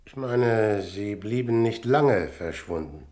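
An actor playing a composed police investigator who questions tersely and considerately in in a radio crime drama. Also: male voice, fairly low pitch, expressive, slow, a little mellow. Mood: probing, cool.

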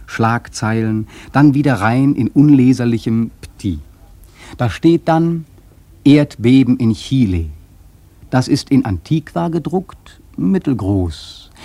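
An actor playing a brooding, wry sailor who narrates in a reflective, dramatic radio-play monologue, with a husky voice. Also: male voice, medium pitch, mellow, no echo. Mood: wry, declamatory. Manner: reflective, dramatic storytelling with deliberate emphasis.

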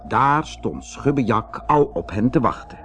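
A man narrating a children's fairy-tale audiobook in an expressive, dramatic way. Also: medium pitch, a little slow, a little hiss or hum. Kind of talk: scripted children's audiobook storytelling.

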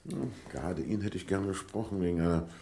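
An actor playing a courteous, quietly insistent police inspector who probes politely in a vintage radio crime play. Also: male voice, low voice, vocal fry. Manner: polite, probing, deliberate.

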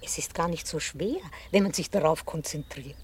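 An actress playing a composed, quietly menacing older housekeeper in a 1960s radio play, speaking with formal, controlled politeness. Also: female voice, low-pitched, moderate pace. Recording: hissy 1960 radio play recording.

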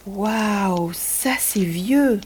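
A woman playing a curious, eager little grandchild with a husky voice and an inquisitive, expressive manner in an audio drama. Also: medium pitch, drawn-out words, a little hiss or hum. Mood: cheerful. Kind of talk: French-language audio drama acting.

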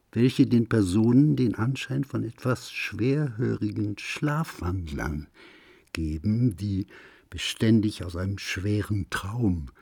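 A man reading a literary essay in a measured, grave, declamatory manner, like a solemn radio recitation. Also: fairly low pitch, vocal fry, warm tone, breathy edge, no room sound, studio quality.

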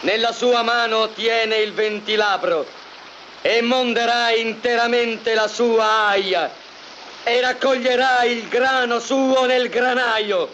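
A man's voice: very high-pitched, steady tone, slow pace, sharp tone, heavily acted. A man acting a fiery prophet who preaches judgement in urgent declamation, as in an old film. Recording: muffled old film soundtrack, faint noise.

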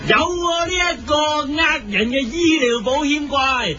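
A man's voice: very high voice, unhurried, shouting. A man playing a gloating swindler monster, the villain of a parody radio drama. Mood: gloating, taunting. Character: gloating male health-insurance swindler monster. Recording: dull, lo-fi with music behind.

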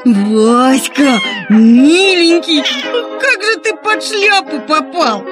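A woman voicing an excitable, curious young boy in a children's audio story, exclamatory and emphatic. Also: high-pitched, extremely slow, music in the background, voice pushed up, heavily acted. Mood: tender relief. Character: excitable young boy, curious, bold yet easily scared.

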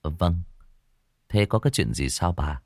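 A man voicing a polite young husband and master, in a low, respectful voice. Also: expressive, measured pace, a little hiss or hum.

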